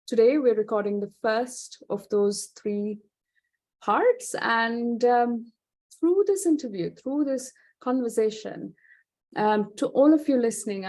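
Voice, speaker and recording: A woman's voice: on the high side, darkish tone. A woman hosting a podcast, introducing her guest in a chatty way with frequent fillers. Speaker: podcast host. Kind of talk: interview introduction.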